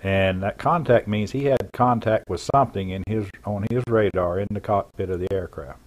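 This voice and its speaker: A man recounting his experience as an eyewitness in an unscripted, halting, plain-spoken and matter-of-fact way. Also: fairly low pitch, poor audio. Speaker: former air traffic controller giving an eyewitness account. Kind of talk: unscripted first-person recollection.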